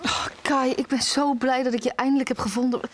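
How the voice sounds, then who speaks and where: A woman's voice: high-pitched, fairly even intonation, a little fast. An actress playing an anxious young student who tells of her search in an audio drama. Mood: relieved, rattled. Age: young adult. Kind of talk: Dutch audio drama, first-person narration and dialogue. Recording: music behind the voice.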